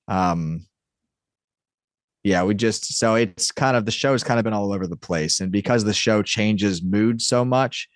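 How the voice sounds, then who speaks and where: Male interview guest, lowish pitch, dry sound, casual and rambling explainer. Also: dry recording. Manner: rambling, explanatory, peppered with "like" and "you know". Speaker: podcast guest, audio drama creator and writer.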